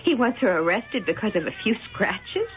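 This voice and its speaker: An actress playing a firm, protective older sister in a vintage radio drama, heard on a muffled old broadcast. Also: female voice, mid-range voice, very animated, normal speed, a little hiss or hum, natural voice. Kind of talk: 1970s radio drama acting.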